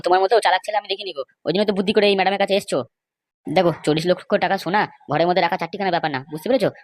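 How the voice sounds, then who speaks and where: A man's voice: sits high, fairly even intonation, very fast, no echo, studio quality, close to an everyday voice. A man with a West Bengal accent voicing argumentative, indignant men in an amateur comedy cartoon, scolding and insistent. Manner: scolding, insistent, rhetorical questions.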